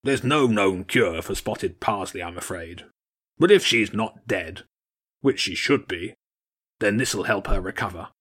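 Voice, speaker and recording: A man's voice: lowish voice, lively intonation, unhurried, a bit bright, breathy edge, full character voice. A man voicing a stern, mocking old badger king in an audiobook story, with a blunt, commanding manner. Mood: grim. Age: older. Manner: blunt, scornful, commanding, short barked phrases.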